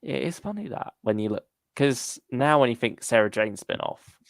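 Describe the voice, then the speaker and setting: A man's voice: mid-range voice, very animated, normal speed, creaky, dry recording, clean studio sound. A man hosting a casual fan discussion livestream, thinking aloud in a tentative way as he talks. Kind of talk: casual chat.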